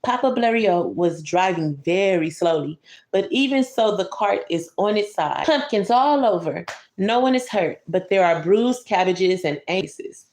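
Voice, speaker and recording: A woman's voice: medium pitch, animated, unhurried, a little mellow, echoey room. A woman reading a picture book aloud to a child, breaking off for casual, conversational asides and explanations. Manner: conversational, explains pictures and comments to a young listener. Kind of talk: bedtime story read-aloud with casual asides.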